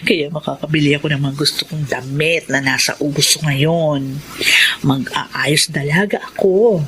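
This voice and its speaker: An actress in a radio drama monologue playing a vain, selfish young manicurist, self-satisfied and dreamy. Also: female voice, low-pitched, a little slow, fairly bright tone, slight background noise. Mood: smug, dreamy. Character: vain, selfish young manicurist who left her family.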